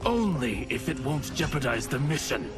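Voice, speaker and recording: An actor playing a stern, honorable ninja warrior in a video game, speaking gravely and formally with bitterness toward his brother. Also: medium pitch, sing-song, close to an everyday voice. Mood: stern, bitter. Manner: grave, deliberate, formal speech. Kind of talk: dramatic video game cutscene acting. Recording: loud cinematic music, distant voice.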